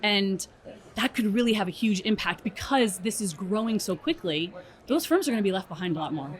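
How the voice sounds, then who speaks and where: A woman's voice: mid-range voice, animated, fairly quick. A woman speaking as an expert guest in a conversational interview, explaining things and often checking in with "right?". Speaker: interview guest, strategy director at a software company.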